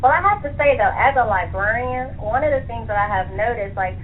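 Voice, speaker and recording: A woman's voice: fairly high pitch, lively intonation, a little fast. A woman being interviewed on a radio show, chatting and explaining with examples as she thinks aloud. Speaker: interview guest, author and librarian. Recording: muffled phone-like line, faint noise.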